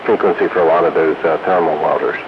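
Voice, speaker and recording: A man's voice: low-pitched, steady tone, fast talker. A man chatting casually with fellow hobbyists over a CB radio. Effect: CB radio transmission. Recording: muffled CB radio with clear hiss.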